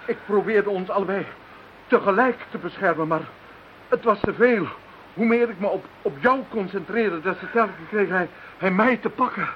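Radio play: apologetic male victim, played by an actor, strained high voice, halting, dull hissy recording. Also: close to an everyday voice. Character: shaken, apologetic man fighting off mental attack. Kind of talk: Dutch radio play acting.

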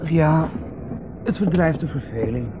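A man's voice: a little high, measured pace, close to an everyday voice. An actor playing an earnest, sceptical younger brother in his thirties in a Dutch radio drama, questioning and exasperated. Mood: needling, doubtful. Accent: Netherlands Dutch. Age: 30s. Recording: noisy, dull vintage radio recording.

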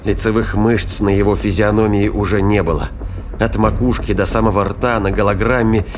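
A man giving scripted dramatic narration of a science fiction thriller in a deliberate, suspenseful manner. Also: lowish voice, steady tone, slight background noise. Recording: music behind the voice.

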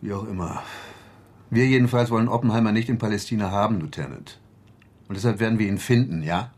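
An actor playing a dryly superior British army major in a radio drama, questioning in a clipped, probing manner. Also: male voice, on the low side, fast, darkish tone, lightly acted.

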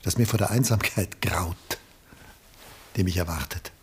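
A male actor in a 1960s German radio play, playing a lonely, needy, melancholy aging artist with a rough, husky voice. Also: sits low, normal speed. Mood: pained, pleading.

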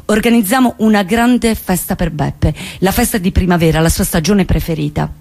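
Embittered, outspoken woman, low-ish register, theatrical monologue delivery, emphatic and intense with weighted pauses. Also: vocal fry, a little mellow. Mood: urgent, hopeful. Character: embittered outspoken woman recounting her lost friend and trial.

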